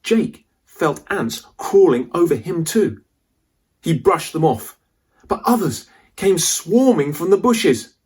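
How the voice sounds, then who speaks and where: A man reading a children's adventure story aloud as a dramatic, suspenseful narrator with deliberate delivery. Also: a little high, animated, darkish tone, husky.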